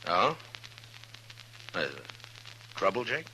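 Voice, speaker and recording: A man playing a plainspoken, steady, weary frontier marshal in a 1950s radio western. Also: on the low side, drawn-out words, a little hiss or hum, full character voice, rough recording. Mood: businesslike.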